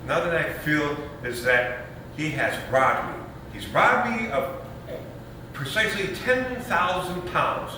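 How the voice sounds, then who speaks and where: A man's voice: a little high, animated, takes it slowly. An actor playing a pompous, scheming lawyer and new father in a stage comedy reading, blustering in grand emphatic phrases. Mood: aggrieved. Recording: echoey noisy hall from far off.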